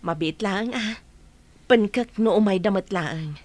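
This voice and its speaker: A woman's voice: mid-range voice, measured pace, darkish tone, lightly acted. A woman playing a kind, reassuring young woman with an Ilocano accent in a radio drama, respectful to her elders. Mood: coy, reassuring.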